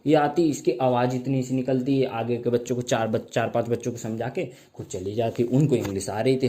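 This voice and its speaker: A young man performing a stand-up comedy set, telling stories with slow mock-grand stretches and playful sound effects. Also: mid-range voice, darkish tone.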